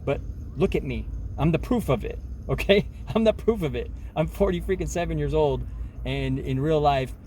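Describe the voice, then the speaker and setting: Man in his forties, self-help vlogger, mellow voice, talks in emphatic loops with faint noise behind. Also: on the high side, moderate pace. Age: 40s. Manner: rambling, many restarts, emphatic, says "man" often. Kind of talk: rambling casual monologue.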